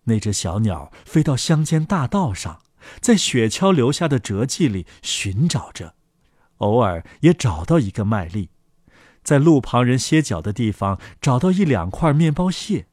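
Man telling children's stories with lively, swooping intonation. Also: mid-range voice, a little slow, no echo, clean studio sound. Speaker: children's story narrator and host. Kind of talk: expressive scripted fairy-tale narration.

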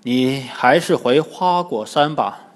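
A man's voice: medium pitch, animated, slow pace. A man voicing a pious, compassionate but stern Buddhist monk, solemnly admonishing in a dramatised story.

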